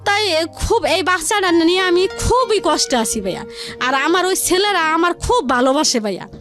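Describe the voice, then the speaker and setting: A woman's voice: extremely high pitch, lively intonation, moderate pace. A woman with a colloquial Bangladeshi Bengali accent telling her personal story as a radio interview guest, in a rambling, repetitive way. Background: music.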